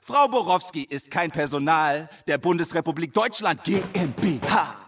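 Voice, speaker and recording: A man's voice: fairly high pitch, expressive, normal speed, background noise, natural voice. An actor playing a pompous man who reads out a crank official letter in a bombastic, mock-official declaiming manner. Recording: distant voice under loud music.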